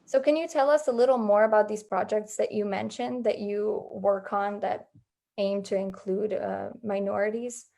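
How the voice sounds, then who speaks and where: A woman's voice: medium pitch, fairly even intonation, moderate pace, creaky, warm tone. A woman hosting a conversational interview, putting her questions in a tentative, slightly hesitant way. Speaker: interviewer, host.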